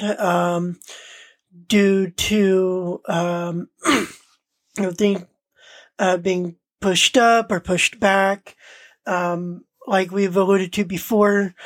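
A woman co-hosting a podcast in casual, unscripted talk, speaking hesitantly and often pausing mid-sentence. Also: medium pitch, no echo. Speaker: podcast co-host.

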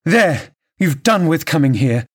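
A man's voice: fairly high pitch, normal speed, pitched above the performer's own voice, lightly acted. A man voicing an impassioned, scornful young married woman with an imperious, theatrical manner in an audiobook novel. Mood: urgent, angry. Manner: theatrical, emphatic, exclamatory.

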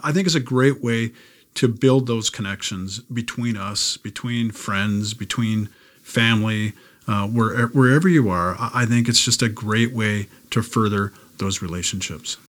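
A man's voice: on the low side, a bit bright. A man talking casually as a podcast co-host, affirming his partner and acting out little imagined quotes. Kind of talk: casual conversational talk.

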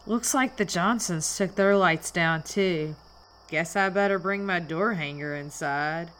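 A woman's voice: lowish voice, unhurried, a bit bright, poor audio. A woman acting a cheeky young small-town waitress who loves Halloween in an audio drama. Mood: friendly, teasing.

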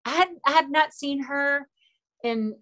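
A middle-aged woman from the Philippines whose first language is Tagalog, talking earnestly as an interview guest with fillers and soft laughs. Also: sits high, unhurried. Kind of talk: casual interview talk.

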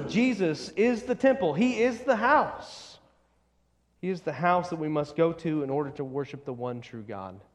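High-voiced male preacher in an echoing hall. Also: lively intonation. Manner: emphatic, deliberate, repeating short phrases. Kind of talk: expository sermon. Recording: long echoing hall reverb.

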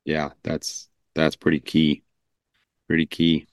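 A man's voice: rumbling low, completely flat delivery, takes it slowly, vocal fry, no echo, clean studio sound. A man hosting an interview podcast over a video call, earnest and affirming, often adding short nods of agreement. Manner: earnest, affirming, frequent backchannels. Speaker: podcast host.

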